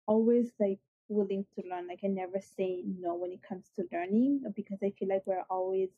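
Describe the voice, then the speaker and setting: A young woman with an Italian accent speaks earnestly, full of fillers, her voice slightly husky. The sound is dry and tinny, like a call over a phone line. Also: mid-range voice, a little fast, no room sound. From Italy. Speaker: guest. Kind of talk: interview.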